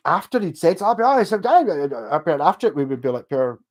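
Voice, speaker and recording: A man's voice: on the high side, big pitch swings. Glasgow man, middle-aged, casual rambling chat, talks to camera. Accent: Glasgow Scottish. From Scotland. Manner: rambling, chatty, swears and laughs, slows down when asked. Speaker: streamer reading chat.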